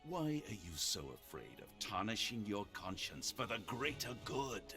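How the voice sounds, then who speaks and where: An actor playing an imperious, coldly persuasive warlord in a video game, theatrical and condescending. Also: male voice, fairly low pitch, very animated, measured pace, music in the background.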